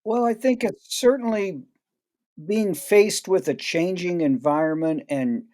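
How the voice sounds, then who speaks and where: A man speaks as a guest in an interview, explaining his ideas reflectively and often restarting phrases. His voice is a touch high for a man, with a warm, mellow tone. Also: a little slow. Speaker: guest expert. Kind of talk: interview answers.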